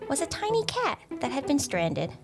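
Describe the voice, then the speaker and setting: A woman storyteller with a high, very animated voice, reading rhyming narration theatrically. Music plays clearly behind her. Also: normal speed. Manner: theatrical, expressive.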